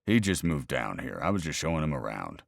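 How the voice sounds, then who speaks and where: A man voicing his laid-back, rough-edged friend in a read-aloud story, casual and offhand. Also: a bass voice, very animated, a little fast, dry recording, studio quality, pitched below the performer's own voice, exaggerated voice.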